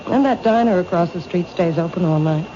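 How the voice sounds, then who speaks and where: An actress playing a polite, reserved bookshop owner in a 1970s radio drama, courteous and restrained. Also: female voice, on the low side, brisk, lightly acted. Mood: hesitant. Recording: dull old radio recording, light noise.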